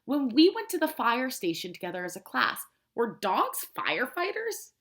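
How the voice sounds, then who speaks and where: High-pitched, animated woman reading to preschoolers. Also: moderate pace. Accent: American. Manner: simple, expressive child-directed talk, repeating and prompting. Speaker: preschool teacher. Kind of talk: children's read-aloud with questions to the listeners.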